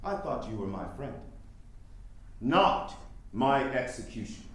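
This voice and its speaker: A man's voice: medium pitch, sing-song. A man playing a wary, principled nineteenth-century abolitionist in a staged play reading, with formal, emphatic delivery. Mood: exasperated. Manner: emphatic, deliberate, formal diction with dramatic pauses. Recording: very echoey room.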